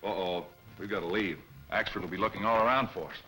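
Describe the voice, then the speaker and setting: A breezy young playboy publisher from an old film serial. He speaks quickly in a clear-cut, bright voice with a flippant, confident manner. Faint music sits behind the old soundtrack. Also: sits low, low-quality recording. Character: young newspaper boss, suave, flippant playboy. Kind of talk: 1940s film serial acting.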